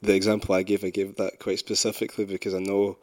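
Glaswegian man, very low voice, easygoing podcast guest. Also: fast talker. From Scotland. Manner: relaxed, with frequent restarts and slang. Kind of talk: casual podcast conversation.

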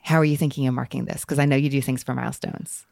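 A woman's voice: very deep voice, fairly even intonation, fast, creaky, a little mellow, no echo, clean studio sound. A woman hosting a book podcast, chatting with guests and addressing her listeners. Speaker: podcast host. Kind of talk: warm book-podcast hosting, interview and sign-off.

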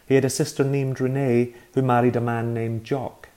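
A man's voice: mid-range voice, level tone, moderate pace, mellow, pitched above the performer's own voice, close to an everyday voice. A man voicing a timid young boy who speaks with anxious, exaggerated politeness. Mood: nervously polite. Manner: overly polite, nervous.

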